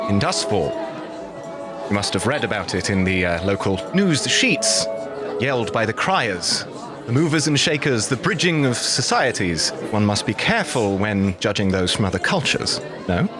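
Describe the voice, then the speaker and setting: A polite gentleman adventurer acted by a man speaks in a lowish, expressive voice. He is formal and pointed, with music playing behind the roleplay. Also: normal speed, lightly acted. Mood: courtly, pointed. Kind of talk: tabletop roleplay acting. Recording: music in the background.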